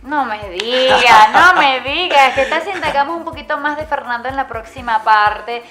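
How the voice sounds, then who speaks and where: A woman in her thirties hosting a celebrity interview indoors, bubbly and quick to chime in. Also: high voice, music in the background. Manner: bubbly, chimes in often with yay and wow. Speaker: host. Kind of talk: entertainment interview. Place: indoor lounge on a leather sofa.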